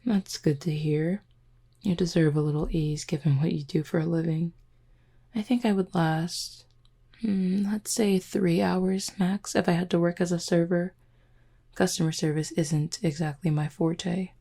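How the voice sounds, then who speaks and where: A woman's voice: fairly low pitch, steady tone, measured pace, mellow. A woman playing a flirty, confident werewolf who teases her listener in an intimate roleplay audio drama. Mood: flirty, sincere. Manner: teasing, flirtatious, smooth-talking. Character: flirty, teasing, confident female werewolf pack beta.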